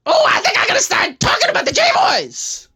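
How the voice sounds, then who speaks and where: A man voicing a manic, theatrical clown supervillain in a comedy parody, with a shrill, gravelly cackle, taunting and talking about himself in the third person. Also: huge pitch swings, normal speed, sharp tone, heavily acted. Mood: defiant glee. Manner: exclaims theatrically, talks of self in third person.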